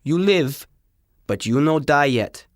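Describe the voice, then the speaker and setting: A man's voice: a little high, lively intonation, slow, no echo, studio quality, pitched above the performer's own voice. A man voicing an emphatic foreign man in a storybook, speaking broken English.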